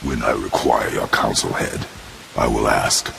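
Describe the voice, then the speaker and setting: Super deep growly warrior dad for a game, stern, barely wastes a word, gravel in every line. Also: male voice, a little slow, background noise, heavily acted. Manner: terse, commanding. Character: stern, battle-hardened god-warrior father, gruff and curt. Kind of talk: cinematic video game acting. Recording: music behind the voice.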